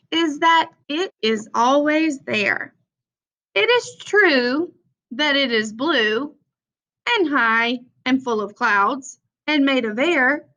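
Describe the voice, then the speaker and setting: A young adult woman reading a children's picture book aloud with simple, deliberate phrasing for young listeners. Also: high voice, sharp tone. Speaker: read-aloud presenter for children. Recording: dull, lo-fi sound.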